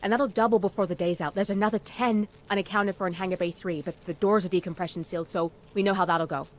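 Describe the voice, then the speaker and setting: A woman playing an overworked military medic in a video game, brisk and curt. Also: fairly low pitch, fairly even intonation, natural voice. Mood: harried, grim. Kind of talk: video game cutscene acting.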